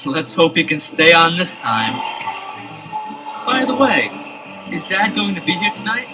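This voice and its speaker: An actor playing an eager, excitable teenage brother detective in a 1970s children's audio adventure, with an earnest, questioning delivery. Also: male voice, high voice, a little slow, over music. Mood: eager, curious.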